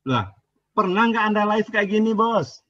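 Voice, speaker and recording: Husky man, dull lo-fi sound. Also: sits high, lively intonation, normal speed, fairly bright tone, no echo. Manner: insistent, repeats himself, pleading to be heard. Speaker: debater. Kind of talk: religious debate.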